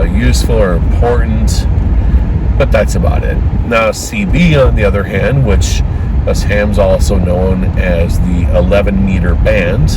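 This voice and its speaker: Man vlogging over loud road noise. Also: on the low side. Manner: conversational, opinionated, frequent fillers and restarts. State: dry throat, occasional coughs. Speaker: ham radio vlogger. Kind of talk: casual rambling vlog talk.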